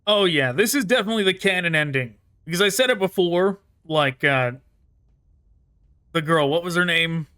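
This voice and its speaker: A man with a bright, slightly high voice commentates on a game. He rambles casually and thinks aloud. The sound is close and dry, with no room around it. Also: expressive, normal speed, clean tone, dry recording. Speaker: gaming YouTuber.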